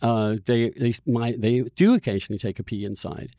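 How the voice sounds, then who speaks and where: A man's voice: medium pitch, a little fast, dark tone, dry recording, clean studio sound. A man hosting a podcast, chatting casually and animatedly in a conversational interview. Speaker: podcast host.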